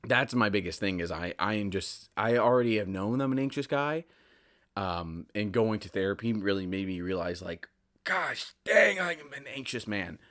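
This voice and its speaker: A man's voice: on the low side, expressive, normal speed, fairly bright tone. A man in his twenties hosting a solo podcast, talking casually in a candid, rambling, self-deprecating way. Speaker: podcast host.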